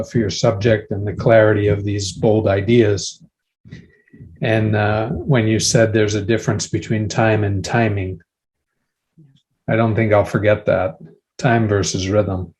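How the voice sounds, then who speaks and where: Male audience member, slightly deep voice, posing a considered question during an academic discussion. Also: darkish tone.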